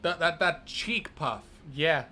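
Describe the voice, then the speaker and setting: Young male streamer, animated, bright and playful, casual banter. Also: on the high side. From grew up speaking Spanish. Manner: playful, jokey, laughs a lot, quick bursts. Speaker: streamer host. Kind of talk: casual chat.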